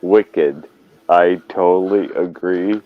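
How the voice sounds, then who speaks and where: A man's voice: deep voice, takes it slowly, phone-quality sound. A man hosting a casual live chat, rambling and hesitant as he talks. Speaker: host.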